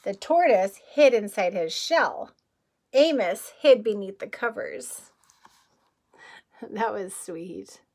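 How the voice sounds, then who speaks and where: A woman reading a picture book aloud as a kindergarten teacher, gently and expressively, with questions to the listeners. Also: medium pitch, lively intonation, takes it slowly, long pauses.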